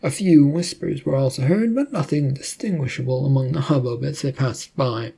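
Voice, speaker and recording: Slightly husky man narrating a story. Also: mid-range voice, normal speed, warm tone.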